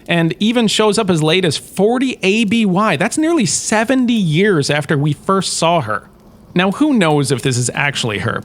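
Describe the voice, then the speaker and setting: A man with a somewhat high-set voice narrates like a history lecturer. He hosts in a casual, wry manner and puts firm emphasis on his key points. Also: a bit bright. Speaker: host, in-character history lecturer. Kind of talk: scripted lecture-style narration of fictional history.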